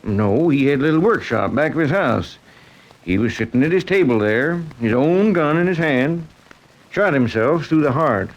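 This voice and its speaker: Small-town chief of police, folksy and canny, very animated intonation, vintage radio drama. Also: male voice, fairly high pitch, normal speed, highs cut off. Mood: matter-of-fact, guarded. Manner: folksy, drawling country phrasing. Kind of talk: 1940s radio drama acting.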